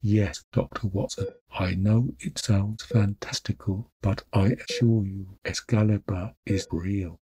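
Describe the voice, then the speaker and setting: A man's voice: on the low side, steady tone, a little slow, few pauses, dark tone, breathy edge, no room sound. A man playing a frail, dying duke in an audio drama, courteous, grave and intent on his quest. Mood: earnest.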